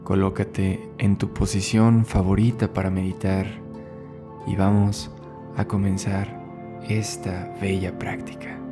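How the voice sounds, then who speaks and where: A man leading a guided meditation as an instructor, soothing and unhurried, speaking in short phrases with long pauses between them. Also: sits low, little pitch movement, music in the background. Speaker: yoga and meditation instructor, host.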